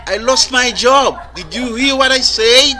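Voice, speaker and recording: A man from Guatemala performing a stand-up comedy set with a slow, deadpan delivery on a live stage. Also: very high voice. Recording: loud audience laughter and noise.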